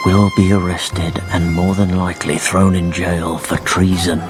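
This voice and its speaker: An actor playing a cold, careful, menacing conspirator in a radio drama. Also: male voice, low voice, level tone, measured pace, music in the background. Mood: conspiratorial.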